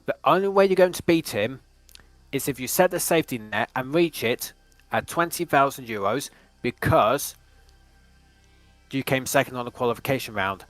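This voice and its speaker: A man hosting a quiz show in a measured, formal manner, sometimes restarting his phrases. His voice sits at a middle pitch and sounds dry and close to the mic. Faint music plays behind him. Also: lively intonation, fairly bright tone, dry recording.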